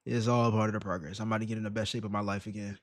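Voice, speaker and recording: A man hosting a casual reaction livestream, slangy and emphatic with rhetorical questions, in a slightly raspy voice. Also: lowish voice, flat delivery, rapid-fire, no echo, studio quality. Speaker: live stream host reacting to videos.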